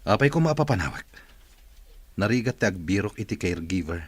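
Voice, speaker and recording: Deep, husky, irritable man, acted out by a man. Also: big pitch swings, fairly quick, no echo. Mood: curt, impatient. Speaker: actor in an audio drama. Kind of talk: dramatised story dialogue.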